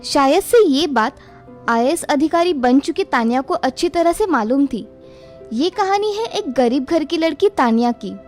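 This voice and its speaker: A woman narrating a cartoon moral story in an even, explanatory manner. Her voice is high, and soft music plays underneath. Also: unhurried.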